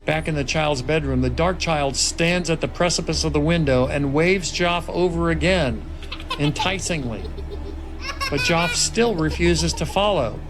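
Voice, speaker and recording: A man's voice: on the high side, a little slow, poor audio. A man reading stage directions as the narrator of a film script table read, in dramatic scripted narration. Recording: music laid clearly behind the voice. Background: music and sound effects.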